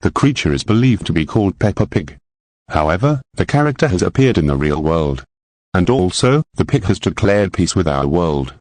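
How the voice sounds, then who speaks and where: A man's voice: deep voice, very animated, moderate pace, a little mellow, no room sound. A man playing a television national alert announcer, reading a scripted bulletin in a formal, official, impersonal way. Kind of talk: scripted emergency news bulletin.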